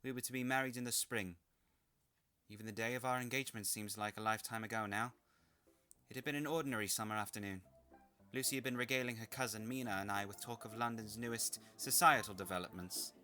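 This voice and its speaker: A man's voice: lowish voice, fast, pushed and bright, soft background music. A man playing a witty, wry young English lord in an audio drama, formal and playful. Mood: reflective, formal. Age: young adult. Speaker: actor and narrator in an audio drama. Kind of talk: scripted radio drama acting and narration.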